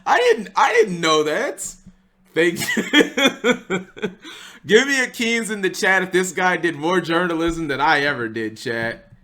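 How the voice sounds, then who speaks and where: A man's voice: high-pitched, sing-song, takes it slowly, pushed and bright. A man giving casual live reaction commentary as a streamer, exclamatory and often repeating his phrases.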